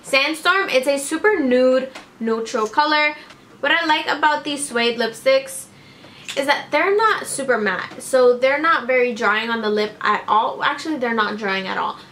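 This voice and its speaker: A woman's voice: high-pitched, unhurried, fairly bright tone, slight background noise. A woman in her twenties reviewing makeup to camera in a casual, gushing way, saying "like" often, in a small room. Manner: gushing, upbeat, heavy use of "like" and "obsessed". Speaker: beauty vlogger. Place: small indoor room with string lights.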